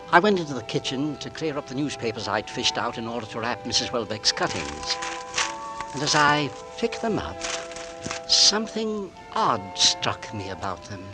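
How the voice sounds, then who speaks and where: Casting: male actor for polite, uneasy homeowner narrating a 1940s radio thriller, lively intonation, musical underscore. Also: mid-range voice, close to an everyday voice, low-quality recording. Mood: uneasy suspicion. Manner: courteous, measured, storytelling delivery. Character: Edward Mummery, mild, polite homeowner growing quietly suspicious. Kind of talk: 1940s radio acting, first-person narration and dialogue. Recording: vintage radio drama with musical score.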